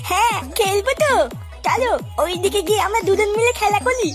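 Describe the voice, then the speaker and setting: A performer voicing a cheeky, boastful little cartoon boy in a very high, artificial childlike voice, bragging and coaxing. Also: fairly quick, loud music behind the voice. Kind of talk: comedy cartoon voice acting.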